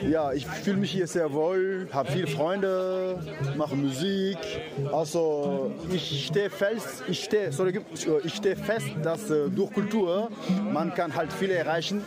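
A high-voiced man from Mauritania and Senegal, not a native German speaker, answers interview questions outdoors in a halting way. Loud music plays behind him at the event. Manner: halting, with many hesitations and restarts. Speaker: event organizer and host. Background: music playing.

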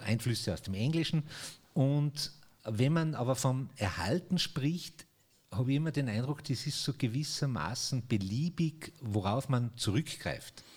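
A man's voice: mid-range voice, expressive, unhurried, darkish tone, husky. A man hosting a regional radio interview, asking long conversational questions with frequent fillers. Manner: conversational, many fillers and restarts. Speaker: radio host.

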